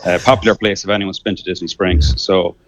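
A man's voice: sits low, fairly quick, a little hiss or hum, poor audio. A man in his thirties with an Irish accent, calling in to a radio show and chatting casually with many hesitations and restarts. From Ireland. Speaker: caller. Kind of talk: casual phone chat.

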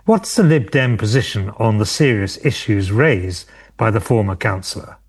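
A man hosting a local radio politics show, presenting candidates in a measured way with occasional hesitations. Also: lowish voice, expressive, breathy edge.

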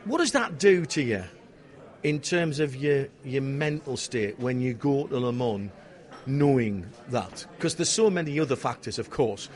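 A man hosting a casual interview, eagerly jumping in with questions and facts. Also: medium pitch. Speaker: interviewer host.

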